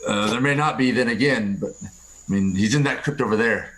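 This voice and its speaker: A man's voice: fairly low pitch, a bit bright, natural voice, poor audio. A man playing a nervous, hesitant man drawn to the supernatural in an old-time radio play. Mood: uneasy, intrigued. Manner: hesitant, halting.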